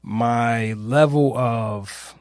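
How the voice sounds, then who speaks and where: A man hosting a podcast, speaking in a reflective personal monologue, informal and searching, with long pauses, repeated phrases and questions put to himself. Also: mid-range voice, smooth, dry recording, clean studio sound. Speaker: podcast host.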